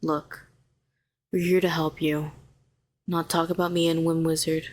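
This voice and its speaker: Woman acting a low-voiced, sardonic yet protective villainess. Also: flat delivery, a little slow. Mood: guarded, wry. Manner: sharp and sardonic, turning soothing and coaxing. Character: telepathic female villain, former hero, sardonic yet tenderly protective. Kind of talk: intimate scripted audio roleplay.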